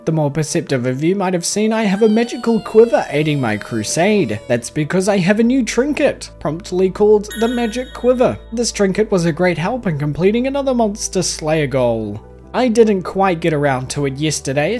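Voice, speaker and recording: Lively Kiwi man narrating gameplay. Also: sits high. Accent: New Zealand. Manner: playful, jokey asides. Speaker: gaming YouTuber. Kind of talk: scripted gameplay voice-over narration.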